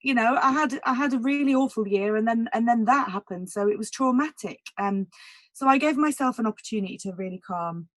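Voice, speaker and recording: A woman jazz singer with a naturally husky voice, talking candidly in a casual interview, full of "like" and "you know". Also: fairly high pitch, fairly quick, dry recording. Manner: candid and emotional, many "like" and "you know" fillers.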